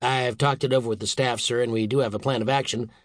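A man's voice: medium pitch, fairly even intonation, no echo, clean studio sound, close to an everyday voice. Man acting a mild, deferential scientist. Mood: earnest, placating. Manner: deferential, measured, apologetic. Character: mild, contrite, small male scientist reporting to his boss. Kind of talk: audiobook character voice.

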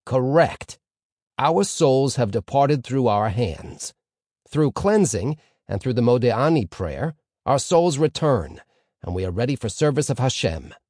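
A man voicing a pompous older rabbi teacher in an audiobook story, declaiming with dramatic pauses and rising questions. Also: medium pitch, sing-song, darkish tone, dry recording, studio quality. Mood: approving, solemn.

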